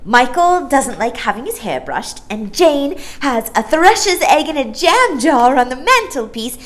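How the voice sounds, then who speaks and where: A bubbly little star-child from a children's storybook, acted out by a woman. The voice sits high and leaps about with gushing, exclaiming delight.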